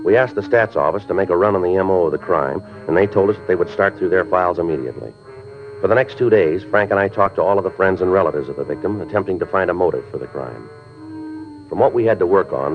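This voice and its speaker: Super deep deadpan american detective, talks quick and clipped, old radio show, muffled recording. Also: male voice. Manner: deadpan, clipped, matter-of-fact. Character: stoic, businesslike male police homicide detective. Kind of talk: 1950s radio crime drama narration and dialogue.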